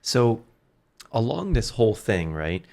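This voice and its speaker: A man's voice: mid-range voice, big pitch swings, a little slow, gravelly, a little mellow. A man in his thirties interviewing casually as a podcast host, speaking close to the microphone.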